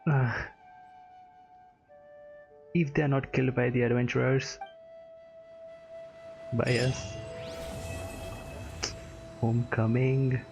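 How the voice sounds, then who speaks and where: A man giving casual, low-key reaction commentary as he streams a game, muttering in short remarks. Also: flat delivery, slow pace, creaky, pauses often, muffled recording. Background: game music.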